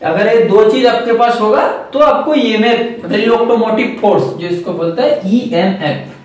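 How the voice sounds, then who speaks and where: A man's voice: high voice, normal speed, heavy reverb, distant mic. A man explaining a circuit step by step to viewers, repeating key points and calling them friends. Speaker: electronics trainer. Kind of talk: whiteboard circuit lesson.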